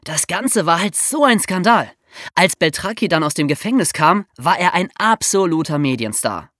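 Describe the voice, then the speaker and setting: Lively, lower-pitched woman telling true-crime stories. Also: normal speed, no echo, studio quality. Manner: explanatory, colloquial. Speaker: podcast co-host. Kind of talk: conversational true-crime storytelling for young listeners.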